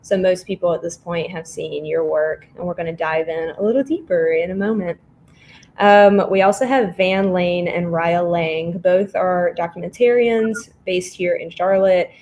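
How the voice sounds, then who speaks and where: A woman with a fairly low voice hosts a panel discussion. She speaks conversationally as she introduces guests. There is faint noise on her video-call recording. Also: normal speed, darkish tone. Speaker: host of an artists' panel.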